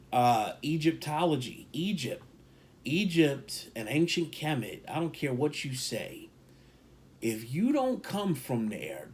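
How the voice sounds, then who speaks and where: A man talking in a loose, rambling way. He hammers his points by saying them twice and leans hard on key words. His pitch sits in an ordinary middle range. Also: a little mellow. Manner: rambling, emphatic, repeats himself for stress. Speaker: podcast co-host. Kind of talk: casual opinion talk.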